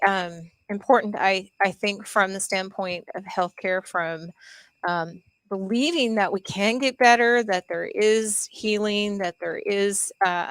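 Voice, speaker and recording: Smooth-voiced woman co-host, dry sound. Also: mid-range voice, a bit bright, dry recording. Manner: thoughtful, measured questioner. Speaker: podcast co-host. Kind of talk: interview podcast.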